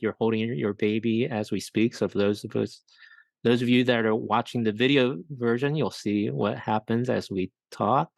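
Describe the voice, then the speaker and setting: A man's voice: mid-range voice, gravelly, no room sound. A man hosting a podcast in a conversational interview style. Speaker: podcast host.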